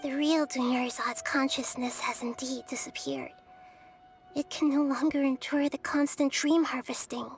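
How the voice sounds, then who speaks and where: A woman voicing a gentle, wise, childlike young goddess in a video game, speaking softly in a measured, thoughtful, explanatory way. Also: high-pitched, steady tone, faint music behind, close to an everyday voice. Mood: grave, explanatory. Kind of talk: English video game dub acting.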